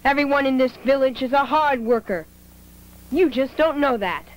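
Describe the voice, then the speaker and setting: An actor voicing a proud, spirited, earnest small boy, a samurai's son, in an animated film. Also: high voice, moderate pace, slight background noise, lightly acted, rough recording. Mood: indignant.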